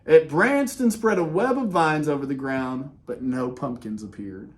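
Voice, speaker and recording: A man reading a children's picture book aloud in an expressive way, with dramatic pauses and casual, conversational delivery. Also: a little high. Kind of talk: animated read-aloud with casual asides.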